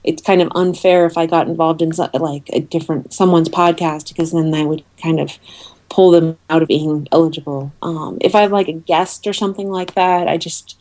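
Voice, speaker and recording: Woman interview guest, slightly low voice. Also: fairly even intonation, a little mellow. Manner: hesitant, halting delivery with many ums. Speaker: interview guest, awards organiser. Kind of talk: informal interview, explaining.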